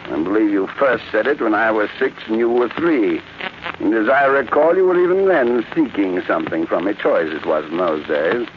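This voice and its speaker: An actor playing a bitter, mocking old family patriarch in a theatrical old-time radio drama style. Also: male voice, on the low side, lightly acted. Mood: scornful. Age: older. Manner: theatrical, sardonic, drawn-out taunting. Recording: noisy muffled vintage radio recording.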